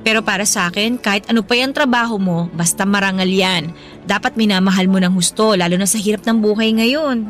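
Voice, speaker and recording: A woman playing a caring, supportive young wife in a radio drama, speaking gently and conversationally. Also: mid-range voice, a little fast, soft background music, natural voice. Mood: gentle, reassuring. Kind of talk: Tagalog radio drama dialogue.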